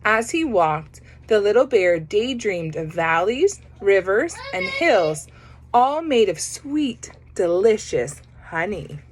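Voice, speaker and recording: A woman reading a children's picture book aloud, pausing to comment on the pictures. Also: medium pitch, big pitch swings. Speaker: storybook reader.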